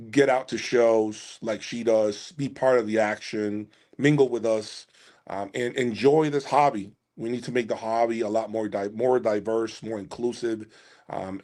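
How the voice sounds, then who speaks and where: A man's voice: mid-range voice, a little mellow. A man hosting a casual livestream about audio gear, answering viewers in an emphatic way and repeating phrases for emphasis. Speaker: host of an audio gear channel.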